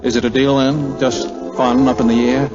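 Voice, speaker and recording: An actor playing a breezy, wisecracking, charming Air Force major in a 1940s radio drama. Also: male voice, mid-range voice, level tone, moderate pace, lightly acted. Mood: flirtatious. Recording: dull lo-fi 1940s radio recording, loud music.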